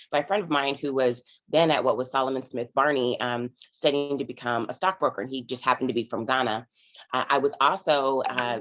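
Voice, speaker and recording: A woman in her forties with a raspy morning voice, an investor guest telling her story in a rambling way full of "you know" fillers over a video call. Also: a bass voice, dull audio. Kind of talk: casual conversational storytelling.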